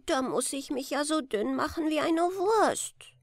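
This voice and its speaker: Little orphan girl for a storybook, played by a woman: high, lilting, innocent, plaintive. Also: lively intonation, unhurried, no room sound, studio quality. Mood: worried. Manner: plaintive, naive questioning. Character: small, weak orphan girl, timid and innocent.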